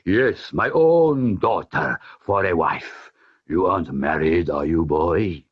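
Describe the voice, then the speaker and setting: A man voicing a pompous, grand male sultan in a storybook reading, speaking slowly and proclaiming. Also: low-pitched, lively intonation, husky, pitched below the performer's own voice, full character voice. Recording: slightly dull with faint music.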